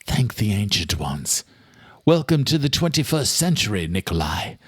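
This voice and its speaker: A man's voice: lowish voice, sing-song, normal speed, breathy edge, no echo, studio quality. Man playing an arrogant, scheming vampire lord. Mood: triumphant. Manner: commanding, haughty. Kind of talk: audiobook character voice.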